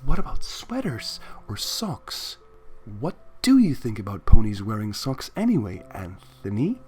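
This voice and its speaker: A man voicing a shy, infatuated young female cartoon pony, soft, hesitant and coy, in a story reading. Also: lowish voice, wildly swooping pitch, measured pace, husky, soft background music, heavily acted. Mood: eager, curious.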